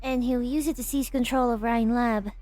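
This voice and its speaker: A shrewd, insistent woman doctor played by an amateur actress in a game fan dub. Her voice is high and wry, over faint music. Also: steady tone, moderate pace, darkish tone, no echo, lightly acted. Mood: urgent.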